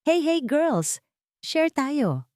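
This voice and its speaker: A woman's voice: sits high, big pitch swings, very slow, a little mellow, dry recording, studio quality, pitched above the performer's own voice, full character voice. A woman voicing a devoted, earnest father in an audiobook love story. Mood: playful scolding. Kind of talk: audiobook character dialogue.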